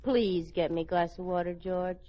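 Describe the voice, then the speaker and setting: An actress playing a playful, scheming sitcom wife in an old radio comedy, with a coy, wheedling delivery. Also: female voice, lowish voice, fairly even intonation, normal speed, natural voice. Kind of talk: 1940s-50s radio sitcom acting. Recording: dull old radio recording.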